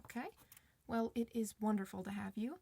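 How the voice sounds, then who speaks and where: A woman's voice: mid-range voice, fairly even intonation, vocal fry, dark tone, slightly rough, dry recording. A woman playing a friendly, reassuring doctor for werewolves in an informal, one-sided ASMR roleplay. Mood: calm, reassuring. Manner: reassuring, informal, hesitant pauses.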